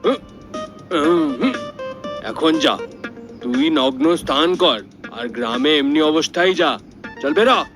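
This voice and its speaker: A man voicing an excitable, easily angered village wrestler in a cartoon, exclaiming and repeating himself. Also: a little high, slow pace, long pauses, over music. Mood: angry, threatening. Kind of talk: cartoon dialogue acting.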